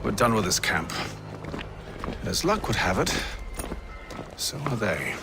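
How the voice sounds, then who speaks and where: An actor playing a poised, cunning gentleman leader in a video game, formal, measured and suave. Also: fairly low pitch, big pitch swings, creaky, music in the background, exaggerated voice. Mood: cool, scheming.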